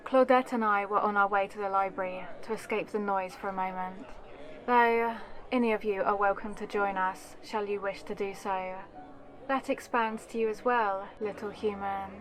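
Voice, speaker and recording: Lady doing an old noble vampire woman, mid pitch, poised n bossy, talks down all formal, asmr roleplay. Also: steady tone, moderate pace, fairly bright tone, natural voice. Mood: gracious, intrigued. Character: aristocratic elder female vampire, composed and commanding. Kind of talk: scripted ASMR audio roleplay.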